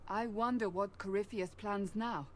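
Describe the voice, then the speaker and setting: A woman's voice: medium pitch, steady tone, lightly acted. Actress as earnest, formal warrior woman, faint background noise. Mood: resolute. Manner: formal, measured, solemn. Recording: game audio with faint background ambience.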